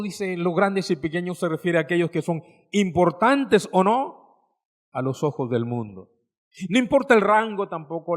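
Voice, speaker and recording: Male preacher, Peruvian accent, slightly high register. Didactic, emphatic sermon delivery that puts questions to the congregation. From Peru, coastal fishing port. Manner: didactic, emphatic, addresses listeners as brothers, poses questions and answers them.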